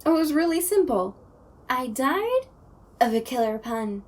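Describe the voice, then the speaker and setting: A woman's voice: high voice, sing-song, a little slow, a little mellow, recorded from a distance, heavily acted. A woman playing a goofy, playful ghost who loves puns in an audio roleplay, chirpy and eager. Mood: playful, excited.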